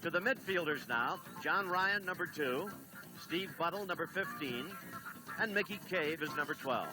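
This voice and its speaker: A man doing play-by-play on a 1970s television soccer broadcast, briskly reading lineups and standings. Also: high-pitched, rough recording. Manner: brisk, reads lineups and stats. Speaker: play-by-play announcer.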